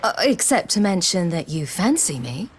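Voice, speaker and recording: A woman's voice: fairly low pitch, big pitch swings, moderate pace, breathy edge, exaggerated voice. A woman playing a self-possessed, guarded young adventurer in a video game. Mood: teasing. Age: young adult. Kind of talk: dramatic video game acting.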